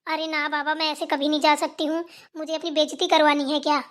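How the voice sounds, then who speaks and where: A woman's voice: sits high, level tone, fast, fairly bright tone. A woman voicing a sassy, vain and impatient younger sister-in-law, teasing and nagging in a cartoon style. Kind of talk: Hindi family cartoon voice-over.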